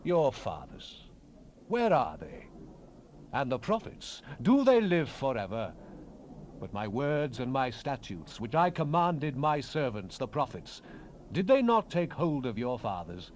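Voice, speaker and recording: A man's voice: mid-range voice, huge pitch swings, measured pace, a little mellow. A man acting a fervent Bible prophet in a dramatized King James reading, solemn and declamatory, with archaic phrasing. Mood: stern, admonishing. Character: fervent male Bible prophet proclaiming visions and God's words. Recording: noisy unprocessed 1970s cassette rip.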